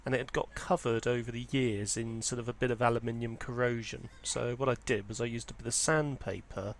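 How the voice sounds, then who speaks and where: A man's voice: mid-range voice, fairly even intonation, brisk, a little hiss or hum. A man presenting an informal, unscripted walkthrough as a hobbyist, explaining things in a hesitant, hedging way. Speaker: presenter of a vintage audio hobby channel.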